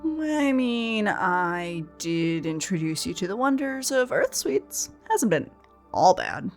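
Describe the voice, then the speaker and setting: A woman's voice: mid-range voice, sing-song, slow, faint music behind. A woman voicing a level-headed young student who teases her friend in an audio drama. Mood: dry. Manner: level-headed, dryly teasing. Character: sensible young woman student, patient, wry. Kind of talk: ASMR audio drama roleplay.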